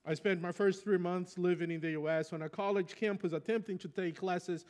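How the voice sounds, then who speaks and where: A man's voice: high voice, steady tone, brisk, a little mellow. A man from Brazil with a Brazilian Portuguese accent, a native Portuguese speaker, preaching a sermon in a reflective, conversational storytelling way. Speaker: pastor.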